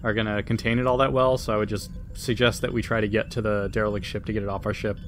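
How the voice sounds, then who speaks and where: A man's voice: on the low side, level tone, quick pace, faint music behind. A man playing a tabletop role-playing game, talking casually with the group. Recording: faint background noise.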